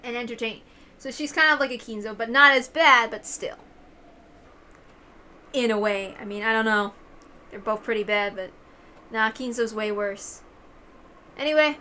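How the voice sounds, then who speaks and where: A woman's voice: fairly high pitch, normal speed, long pauses, bright, forceful tone, a little hiss or hum. A woman reading a visual novel aloud and adding casual commentary of her own.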